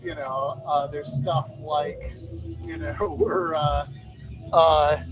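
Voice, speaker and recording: Male podcaster, slightly high voice, casual and stop-start with repeated phrases, clear music bed behind. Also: fairly even intonation, poor audio. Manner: halting, circles back and repeats phrases. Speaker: podcast host. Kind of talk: casual chat. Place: bar. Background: loud music.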